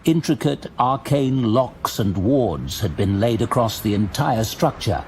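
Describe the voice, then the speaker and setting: A man's voice: medium pitch, expressive, a little slow, slight background noise. A man giving a dramatic scripted narration of a fantasy audio drama. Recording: loud dramatic music under the voice. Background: music.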